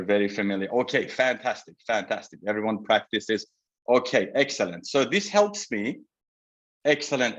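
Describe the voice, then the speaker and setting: A man, giving a polite, measured webinar lecture over a video call. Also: medium pitch, a bit bright. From Iran. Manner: polite, measured, addresses audience directly. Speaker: presenter, psychotherapy researcher. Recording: slightly dull video call audio.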